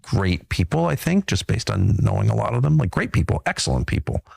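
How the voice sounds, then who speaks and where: A man speaking as a guest in a conversation, earnest and measured. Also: fairly low pitch, huge pitch swings, creaky, darkish tone, dry recording. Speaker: guest in a clip. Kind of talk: conversational interview.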